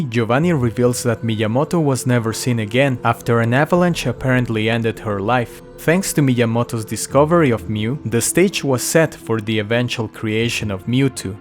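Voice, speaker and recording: Male video host, scripted explainer narration, mid-low everyday pitch, music underneath.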